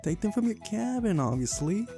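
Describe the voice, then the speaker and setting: Visual novel casting: imperious young prankster girl, played by a man, raised pitch, mischievous and eager. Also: sing-song, normal speed, music in the background. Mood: bossy. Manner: imperious, rattling off commands. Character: bossy, impish small girl, eager prankster.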